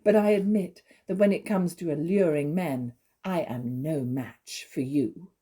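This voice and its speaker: An actress playing a naive, jealous young wife, pleading and accusing in stagey dramatic dialogue. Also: female voice, low voice, measured pace, warm tone, slightly rough. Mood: tearful, bitter. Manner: imploring, emotional, accusatory.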